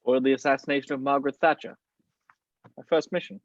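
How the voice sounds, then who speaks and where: A composed middle-aged gentleman spy, read by a man in a table read, measured and calm. His voice has an average pitch. It comes through a dry, slightly dull home microphone. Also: dry recording, natural voice. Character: polished middle-aged male spy mentor. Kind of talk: amateur table read over video call.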